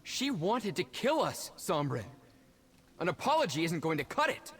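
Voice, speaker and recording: An actor playing a bitter, accusing young brother in a video game. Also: male voice, a little high, sing-song, normal speed, fairly bright tone. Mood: angry, scornful. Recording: long reverb, music underneath.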